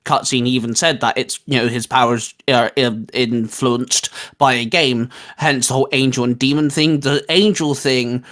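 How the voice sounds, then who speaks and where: A young man with an average-pitched voice talks through his opinions as a gaming co-host. His delivery is informal and explanatory. He pauses and rephrases while looking for the right word. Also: fairly even intonation, a bit bright. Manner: explanatory, groping for words, tags points with "you know". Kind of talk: casual discussion of video games.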